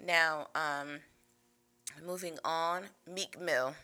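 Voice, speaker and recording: A woman's voice: sits low, steady tone, extremely slow, sharp tone. A young woman hosting a casual chat show, playful and teasing, talking to a live audience. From New York. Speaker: show host.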